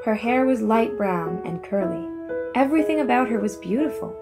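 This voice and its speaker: A woman narrating a children's fairy tale in an expressive, deliberate storybook manner. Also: fairly high pitch, loud music behind the voice.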